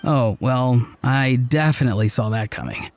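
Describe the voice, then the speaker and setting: Guy voicing an upbeat breezy young game character, mid pitch, playful and earnest, music in the back. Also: moderate pace, darkish tone, close to an everyday voice. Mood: teasing. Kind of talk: video game cutscene voice acting.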